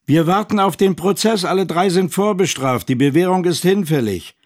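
A street-wise, mocking fellow in a radio play. His voice is on the high side, and he tells his side with scorn. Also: moderate pace. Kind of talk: first-person radio drama monologue.

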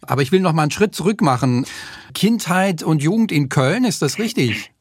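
A man's voice: on the high side, expressive, brisk, slightly rough. A man interviewing a guest with short, prompting questions.

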